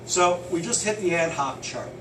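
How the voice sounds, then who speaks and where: A man leads a hands-on software lesson in a loud, casual voice that leans hard on his warnings. He sits a little high and away from the mic. The room echoes, and the air conditioning hums steadily behind him. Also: animated, normal speed. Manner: loud, emphatic at key points, casual. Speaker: software trainer. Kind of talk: hands-on software training walkthrough. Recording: roomy, distant, noisy air conditioning.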